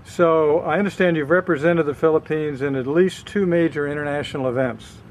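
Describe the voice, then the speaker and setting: A man interviewing a student, asking measured, polite questions outdoors. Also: a little high, fairly even intonation, slight background noise.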